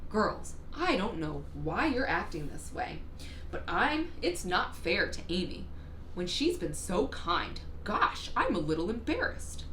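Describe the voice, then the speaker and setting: A woman's voice: medium pitch, sing-song, unhurried, pushed and bright. A woman voicing a flustered storybook father, exasperated, scolding and apologetic. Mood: embarrassed, apologetic. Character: flustered, scolding, embarrassed father of a young girl. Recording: roomy echo with faint background noise.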